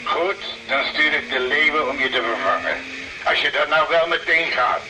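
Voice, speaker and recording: An actor playing a businesslike, clipped dispatcher on a car radio in a radio play. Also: male voice, on the high side, normal speed, lightly acted. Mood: businesslike, curt. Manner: clipped, official. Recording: very noisy car radio transmission.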